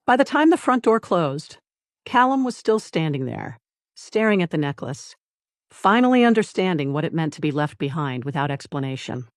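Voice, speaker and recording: A narrator reading a scripted dramatic story in a measured, deliberate way, with short phrases and pauses. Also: medium pitch, no echo, clean studio sound. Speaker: audiobook narrator.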